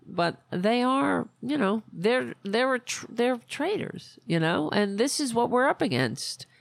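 A hoarse woman hosts a political podcast, speaking slowly with a gravelly, creaky edge to her voice. She rambles, swears and loses her train of thought. The sound is dry and close. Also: a little high, very animated, dry recording. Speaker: podcast host. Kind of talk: casual political rant.

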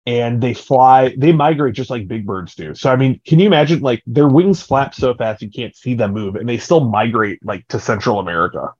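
A man's voice: mid-range voice, moderate pace, hardly any pauses, a little mellow, husky, no echo. A man co-hosting a podcast in casual chat, joking and playful. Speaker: podcast co-host. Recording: slightly dull, lo-fi dry recording.

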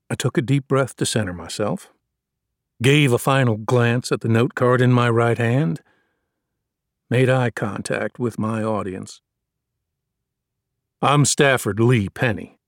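A man's voice: mid-range voice, dry recording, clean studio sound. A man reading a first-person novel aloud as scripted audiobook narration.

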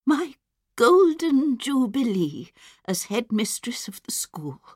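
A sweet, befuddled old headmistress of ninety-nine in a storybook reading. She speaks in a high, lilting sing-song that wanders up and down. She rambles and loses her thread. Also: big pitch swings, takes it slowly, darkish tone, dry recording, clean studio sound, full character voice. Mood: doddery, stately. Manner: rambling, forgetful. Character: dozy 99-year-old headmistress, sweet and muddled. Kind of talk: storybook character voice.

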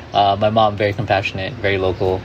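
A man's voice: fairly low pitch, highs cut off, a little hiss or hum. A man, a guest in an interview, reflecting in a loose way full of "like" and "you know". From Hawaii.

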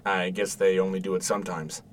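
A man playing a gentle, eager, protective father in an audio drama, speaking softly and haltingly in a naturalistic style. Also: sits low, flat delivery, distant mic, a little hiss or hum, close to an everyday voice. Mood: reassuring. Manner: hesitant, soft-spoken, stammering when worked up.

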